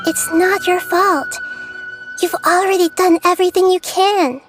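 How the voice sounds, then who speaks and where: Brief: a kind little goddess, very high and soft-spoken, faint game music. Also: female voice, steady tone, unhurried. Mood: reassuring. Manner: soft, gentle, reassuring. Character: small girlish goddess of wisdom, gentle and kind. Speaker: Lord of Dendro. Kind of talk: video game voice acting.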